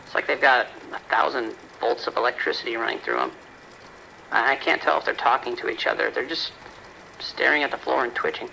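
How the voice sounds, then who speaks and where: Man playing tense, sharp-voiced patrol deputy. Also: medium pitch, big pitch swings, a little fast, creaky, a little hiss or hum, full character voice, rough recording. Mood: uneasy. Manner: terse radio reports, tense. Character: male patrol deputy, uneasy, bewildered, obedient. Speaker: police deputy. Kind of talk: audio drama acting. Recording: radio calls with rain behind.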